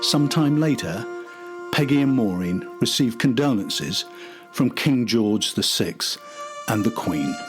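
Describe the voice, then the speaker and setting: A man's voice: mid-range voice, animated, slow pace, music in the background. An older man narrating a documentary from a script.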